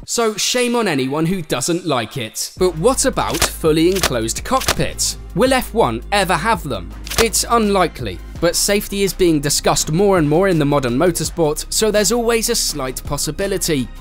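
A man's voice: a little high, sing-song, normal speed, few pauses. A man narrating a scripted motorsport explainer as a voice-over, with playful, sarcastic asides. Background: faint background music.

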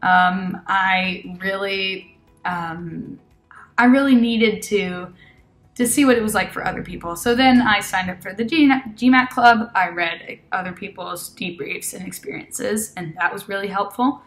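A young woman in her twenties talks casually over a laptop webcam, with an American-leaning English accent over a native Spanish background. Her talk is chatty and loose, full of "um" and restarts, pitched at an ordinary middle height for a woman. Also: unhurried, fairly bright tone, soft background music. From Argentina. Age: 20s. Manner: chatty, many fillers and self-corrections, laughs often. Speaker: test-prep student giving a testimonial. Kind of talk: casual testimonial. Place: small indoor room with red wall.

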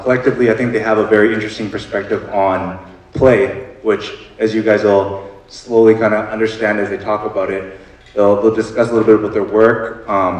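A man moderating a live stage talk, speaking casually with many ums and likes. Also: on the low side. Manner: casual, filler-heavy, upbeat. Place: theater stage before an audience. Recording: echoey theater from far off.

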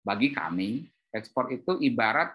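A middle-aged man giving an informal, animated explanatory talk on a video call, telling stories and acting out dialogue. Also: mid-range voice, moderate pace, slightly rough. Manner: animated storytelling, acts out dialogue. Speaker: speaker at an export training webinar. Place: indoor room on a group video call.

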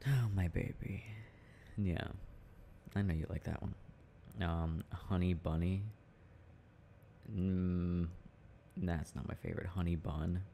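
A young man speaking in a playful, affectionate, halting murmur, like an intimate, casual ASMR boyfriend roleplay, with a deep gravelly voice. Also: mellow. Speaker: boyfriend roleplay audio performer. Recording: faint background noise.

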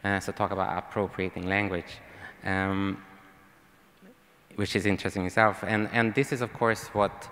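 A man's voice: sits low, little pitch movement, moderate pace, a bit bright. A young man from Sweden with a Swedish accent, giving a hesitant panel talk with lots of ums, speaking into a handheld mic on a stage. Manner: hesitant, many ums and restarts. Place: large hall, on stage. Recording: long hall reverb.

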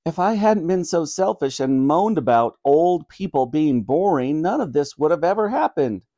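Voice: mid-range voice, animated, moderate pace, warm tone, no room sound, lightly acted. A reader as an earnest young boy. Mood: remorseful.

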